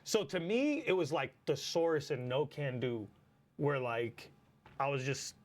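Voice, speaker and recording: A man in his forties from Lebanon with a Lebanese accent, telling stories in a casual chat, animated and full of swearing and fillers. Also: medium pitch, measured pace. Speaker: battle rapper guest.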